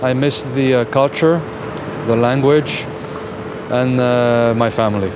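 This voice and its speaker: A man answering street interview questions outdoors, pausing hesitantly between words. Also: mid-range voice, steady tone. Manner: hesitant, with fillers. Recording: outdoors with traffic noise.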